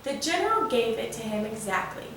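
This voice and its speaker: A woman reading a children's storybook aloud in an animated narrating style. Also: on the high side, brisk. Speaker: volunteer coordinator reading aloud. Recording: very echoey room, distant mic, faint noise.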